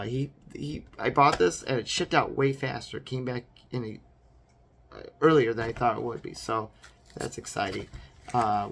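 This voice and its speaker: Hoarse guy in his 40s getting over a cold, rambly and full of ums, bit of faint hiss behind. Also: mid-range voice, fairly bright tone. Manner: rambling, many fillers and restarts. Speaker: vlogger. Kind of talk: casual unboxing chat.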